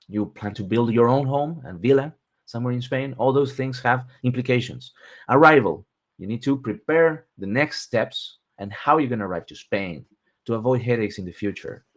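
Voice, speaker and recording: A man, hosting a webinar and working through lists point by point with pauses between items. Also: medium pitch. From Argentina. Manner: steady, list-like delivery with pauses between items. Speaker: webinar host and relocation consultant. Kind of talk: presenting a webinar.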